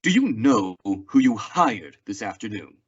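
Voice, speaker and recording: A man's voice: lowish voice, very animated, measured pace, slightly rough, exaggerated voice. A young man acting a weary, plainspoken Old West marshal in a radio Western drama. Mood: firm, troubled. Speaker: actor in a school radio theatre production. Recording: dull lo-fi radio-play recording.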